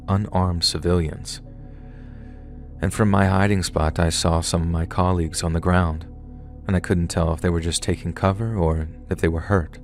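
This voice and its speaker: A man narrating true horror stories submitted by viewers, in a measured, unhurried way. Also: low-pitched. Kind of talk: scripted horror story narration.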